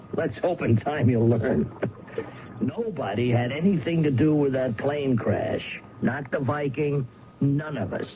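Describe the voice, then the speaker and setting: A man's voice: medium pitch, slow, natural voice. Guy playing a smug crime boss in an old radio play, talks down to people, dull recording with lots of noise. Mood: smug, amused. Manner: smug, dismissive, patronizing. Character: cool, condescending male crime boss. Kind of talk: radio mystery drama acting.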